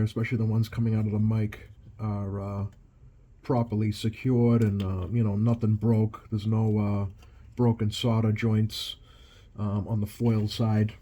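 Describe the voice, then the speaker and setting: Low, mellow man talking through hands-on work. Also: muffled recording. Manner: halting, thinking aloud while working with hands. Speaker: radio repair technician, video host. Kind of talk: casual hands-on repair commentary. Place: small indoor workshop at an electronics bench.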